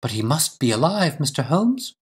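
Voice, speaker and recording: A man's voice: fairly high pitch, very animated, moderate pace, slightly rough, pitched above the performer's own voice, heavily acted. A man voicing an earnest, composed but anxious Victorian wife in an audiobook, with formal, insistent, precise delivery. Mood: earnest, pleading. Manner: formal, emphatic, precise diction. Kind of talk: audiobook character dialogue.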